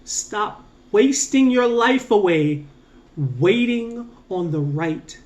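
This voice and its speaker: A man with a fairly high voice gives a casual motivational talk as a mindset coach. He is earnest and emphatic, lifting his voice on key points and drawing out the ends of phrases to drive them home. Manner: earnest, repetitive, emphatic with drawn-out slow endings; energetic, rising on key points.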